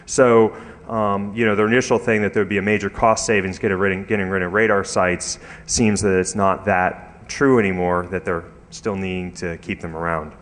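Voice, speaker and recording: A man giving an informal technical conference talk with slides, speaking haltingly with frequent hesitations and repeated words. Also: low-pitched, fairly even intonation, fairly bright tone. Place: large indoor conference hall. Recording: echoey hall with long reverb.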